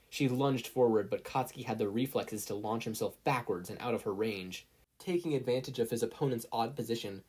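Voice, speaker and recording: Husky-voiced storyteller reading scripted fiction. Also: medium pitch, a little mellow. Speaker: narrator, podfic reader.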